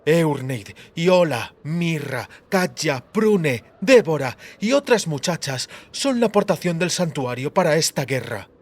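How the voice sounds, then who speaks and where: Audiobook high priestess , male performer: authoritative, brusque, sarcastic, lively intonation. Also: unhurried, few pauses, full character voice. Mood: firm, lecturing.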